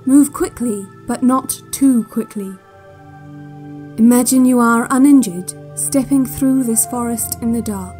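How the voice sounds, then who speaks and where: A woman acts a female relaxation cassette guide for an audio drama. Her voice is fairly high and soft-edged, measured and soothing, yet quietly ominous and in control, over faint new-age music. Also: lightly acted. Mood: soothing, hypnotic.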